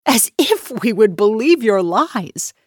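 A woman voicing a wealthy, haughty woman who sneers and accuses loudly. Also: mid-range voice, measured pace, slightly rough, no echo, clean studio sound. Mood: sneering. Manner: sneering, loud and triumphant.

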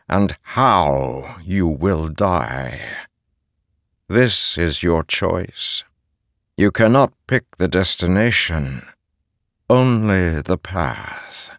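Guy doing a deep wise spirit voice for a fantasy audiobook, haunting n solemn, really slow and measured. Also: no room sound, studio quality, full character voice. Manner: slow, deliberate, measured pronouncements.

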